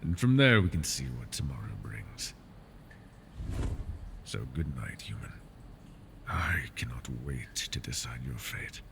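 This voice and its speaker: A man voicing a gravelly, possessive dragon. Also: sing-song, a little slow, long pauses, noisy background, full character voice. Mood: teasing, menacing. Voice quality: gravelly, low. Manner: teasing, possessive, drifting into soft whispers. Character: possessive, scheming male dragon keeping a captive. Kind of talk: ASMR fantasy roleplay.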